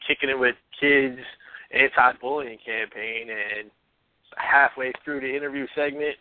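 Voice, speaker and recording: A man co-hosting a radio show, talking casually and hesitantly with many pauses. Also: mid-range voice, steady tone, dry recording. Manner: hesitant, lots of ums, laughs along. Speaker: co-host and interviewer. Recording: dry tinny phone-like line.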